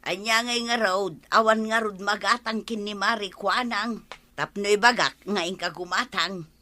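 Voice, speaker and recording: Aktres a kas naraniag ti timekna nga asawa. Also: female voice, medium pitch, fairly even intonation, moderate pace, sharp tone, no echo, natural voice. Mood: nagging. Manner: scolding, exclamatory, colloquial. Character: thrifty, nagging village wife, teasing and affectionate. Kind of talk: comedic radio drama dialogue.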